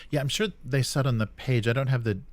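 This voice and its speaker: A man co-hosting a tabletop gaming show, explaining news in a casual, halting way. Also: mid-range voice.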